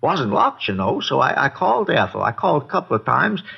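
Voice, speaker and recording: A man's voice: medium pitch, fairly quick, vocal fry, slightly rough, heavily acted. An actor playing a folksy, boozy older American man who rambles and repeats himself in an old radio drama. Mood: agitated, rambling. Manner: rambling, repetitive, folksy, excitable. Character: rambling, boozy, folksy male neighbor witness. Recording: dull, lo-fi old radio recording.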